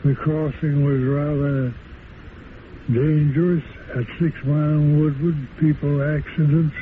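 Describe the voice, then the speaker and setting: Brief: very old man, slow halting old-timer delivery, tinny noisy tape. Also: fairly high pitch, little pitch movement. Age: 90s. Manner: halting, slow, chuckling, old-fashioned diction. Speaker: interview guest, former radio actor. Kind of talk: casual interview, reciting an old radio bit.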